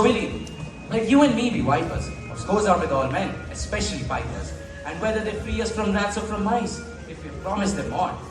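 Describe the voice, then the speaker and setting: A young male narrator reciting verse theatrically in a school play, heard from a distance in an echoey space with music. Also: high voice.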